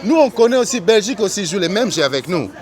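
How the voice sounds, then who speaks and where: A man interviewing on the street, arguing his points and cutting in with quick agreement. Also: sits high, big pitch swings, darkish tone. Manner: argumentative, interjects agreement often. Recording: crowd noise behind.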